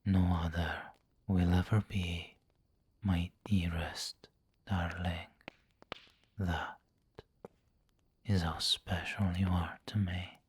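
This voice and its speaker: A man playing a refined, possessive vampire lord who dotes on the listener in an intimate, whispery ASMR roleplay, speaking formally and deliberately. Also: low voice, level tone, no echo, exaggerated voice. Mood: tender, possessive. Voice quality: breathy, whispery, husky. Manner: slow, deliberate, formal, pausing mid-phrase. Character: aristocratic male vampire lord, possessive, doting, refined and snobbish.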